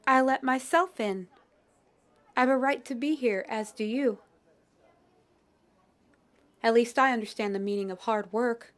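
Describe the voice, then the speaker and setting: Inga, a woman playing a shy young flower girl. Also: sits high. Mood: defiant. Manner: soft-spoken, polite, old-fashioned phrasing. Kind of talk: fantasy audio roleplay.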